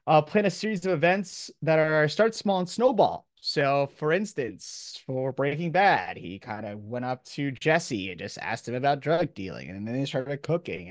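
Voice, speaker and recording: A man's voice: medium pitch, expressive, moderate pace, hardly any pauses, no echo, clean studio sound. A man giving an informal lecture as a professor, talking in a casual, off-the-cuff, conversational way.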